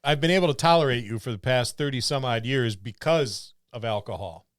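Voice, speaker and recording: A man's voice: medium pitch, a little fast, dry recording. Middle-aged man, gaming podcast co-host. Clean resonant tone, wry joking delivery, tight dry recording. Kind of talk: casual joking banter about tabletop gaming.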